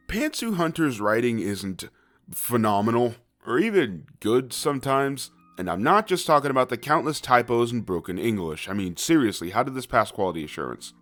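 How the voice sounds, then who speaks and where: A man narrating a scripted comedic video review, with sarcastic, profane, conversational asides. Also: on the low side.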